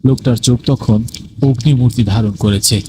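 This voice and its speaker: A man's voice: medium pitch, moderate pace, noisy background. A man narrating a horror cartoon story in a dramatic style.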